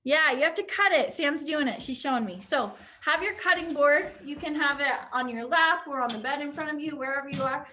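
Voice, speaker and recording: A woman's voice: high-pitched, fairly quick, few pauses, bright, forceful tone, a little hiss or hum. A young woman teaching an online drama class for kids, encouraging and upbeat as she guides a pretend game over a video call. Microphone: computer on a video call.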